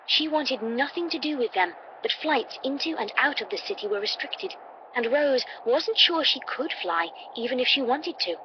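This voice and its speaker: Lady reading a story out loud like an audiobook, kinda lo-fi and noisy. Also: high voice, expressive, moderate pace. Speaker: audiobook narrator. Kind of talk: scripted story narration. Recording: dull, noisy playback through computer.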